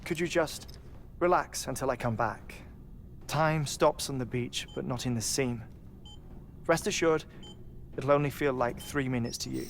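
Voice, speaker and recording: An actor playing a gentle, obsessive male scientist with a weak heart, who talks in a soft, thoughtful, deliberate way. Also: medium pitch, creaky, slight background noise. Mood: musing. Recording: game cutscene with music behind.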